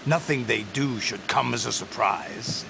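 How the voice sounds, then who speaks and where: An actor playing a cold, commanding terrorist leader in a video game, curt and gravelly. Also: male voice, medium pitch, a little slow. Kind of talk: video game cutscene acting. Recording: noisy vehicle-like ambience behind.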